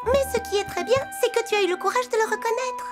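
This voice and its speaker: A woman's voice: very high voice, quick pace. A woman voicing a tiny, kindly mouse dentist who reassures and instructs in a children's cartoon. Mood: encouraging. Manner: reassuring, instructive, gently scolding. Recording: music behind the voice.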